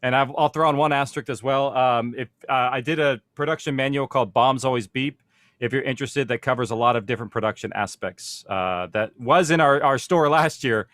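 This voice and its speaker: A man's voice: medium pitch, fairly quick, a bit bright, no echo. Dry-sounding man explaining on a panel. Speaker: panel host, audio production producer. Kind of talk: informal panel discussion.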